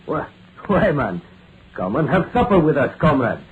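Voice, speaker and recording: An actor playing a friendly, plain-spoken Roman legionary with archaic phrasing in an old radio drama. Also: male voice, on the high side, sing-song, normal speed. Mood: warm, welcoming. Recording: tinny, noisy vintage radio recording.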